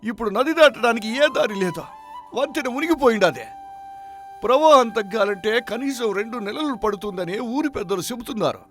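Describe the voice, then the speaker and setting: Guy acting fretful village neighbour in a moral tale, high pitched, rustic talk, exclaims a lot. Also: animated, brisk, a bit bright, faint music behind. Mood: alarmed. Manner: rustic dialect, exclamatory, lamenting. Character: agitated, blunt village man, alarmist worrying neighbour. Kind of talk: moral-story dramatization.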